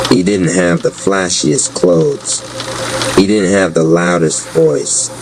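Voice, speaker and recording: A man with a low voice narrates a dark fantasy audio drama. His delivery is slow, measured and dramatic, like spoken word. A clearly noisy rumble runs behind him.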